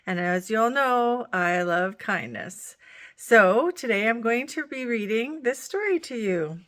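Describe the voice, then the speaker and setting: A woman reading a children's picture book aloud as a storytime host, expressive and pausing to address young listeners. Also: fairly high pitch. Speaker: storytime reader.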